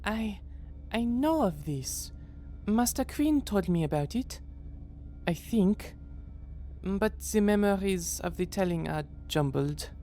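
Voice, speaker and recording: Casting: earnest young female apprentice, halting, unhurried, soft dark tone, dramatic dub. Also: mid-range voice, animated, a little hiss or hum. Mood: apologetic, unsure. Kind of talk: dramatic comic dub acting.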